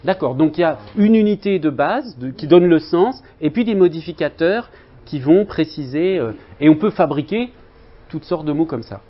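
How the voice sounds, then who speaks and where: A man's voice: on the high side, lively intonation, moderate pace, highs cut off. A man lecturing in a university linguistics seminar, talking loosely with students and hesitating often with fillers and restarts.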